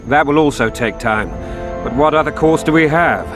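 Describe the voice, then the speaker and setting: A man voicing a shrewd, commanding king at war in a video game, regal, measured and authoritative. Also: a little high, music in the background, natural voice. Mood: imperious, calculating.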